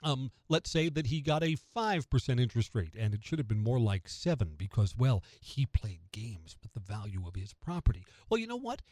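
A man's voice: mid-range voice, very animated, normal speed, hardly any pauses, a little mellow, dry recording. An American man giving conversational opinion commentary as a radio host, emphatic, asking questions and answering them himself. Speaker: radio talk show host.

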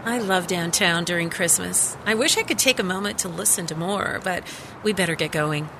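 A woman's voice: lowish voice, moderate pace, gravelly, background noise. A woman playing a frustrated, burned-out, sarcastic news reporter in a radio drama, with an exasperated, wry manner. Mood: restless.

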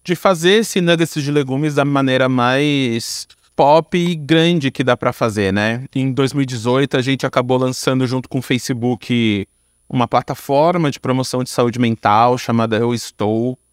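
A man's voice: medium pitch, normal speed, clean tone, no room sound, studio quality. A man with a Brazilian Portuguese accent talking casually in an interview about his creative work, in an informal, slangy, run-on way with frequent tag questions. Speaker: podcast guest, audio storyteller and creator.

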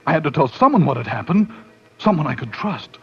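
An actor playing an excitable, uneasy man telling his own horror tale, dramatic and intense, in 1940s radio style. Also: on the high side, husky, soft background music, full character voice. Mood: grim, shaken. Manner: dramatic, intense, with suspenseful pauses. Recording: dull, slightly noisy 1940s radio recording.

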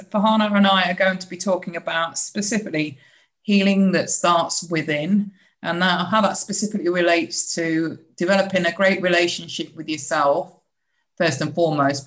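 A woman with a notably low voice hosts a podcast interview. She asks upbeat, probing questions and often restarts her sentences as she goes. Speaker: podcast host.

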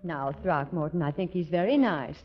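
An actress playing a gracious, gentle lady at a party in a 1940s radio comedy, on an old recording. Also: low-pitched, lively intonation, moderate pace. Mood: polite, gracious. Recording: dull vintage radio recording.

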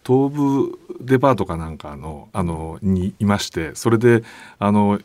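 Man, low voice, dry close sound, halting casual chat, guest. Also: expressive, darkish tone, no echo. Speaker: podcast guest, painter and art teacher.

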